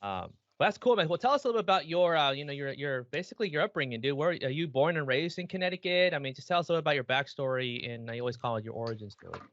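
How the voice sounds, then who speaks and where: A man's voice: fairly high pitch, lively intonation, racing, hardly any pauses, a bit bright, no echo, muffled recording. A man hosting a podcast, chatting loosely with slang and Spanish words mixed in. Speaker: podcast host. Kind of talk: casual chat.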